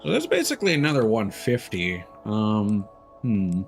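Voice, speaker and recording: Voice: medium pitch, huge pitch swings, normal speed, gravelly. A game streamer giving casual, profane live commentary with sardonic, joking asides. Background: video game music and sounds.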